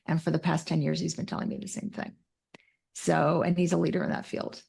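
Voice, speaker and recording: Creaky, lower-pitched woman guest. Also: flat delivery, fairly quick, a little mellow. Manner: precise, self-correcting, technical vocabulary. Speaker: interview guest, mental health clinician. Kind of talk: conversational expert interview.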